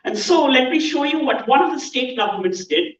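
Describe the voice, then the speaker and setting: Indian woman keynote speaker, fairly high voice, earnest and measured, distant dull hall sound. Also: lively intonation. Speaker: conference speaker. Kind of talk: conference keynote talk. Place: large conference hall.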